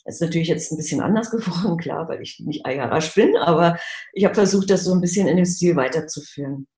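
A middle-aged woman, an illustrator, answering interview questions over a video call in a relaxed, matter-of-fact way. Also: lowish voice, quick pace. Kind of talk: casual interview. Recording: echoey video call audio.

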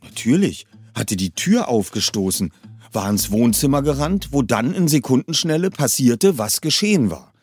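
A man's voice: medium pitch, very animated, moderate pace, a little mellow, faint music behind. A man reading a novel aloud expressively, shifting his voice for the characters. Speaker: reader of a novel.

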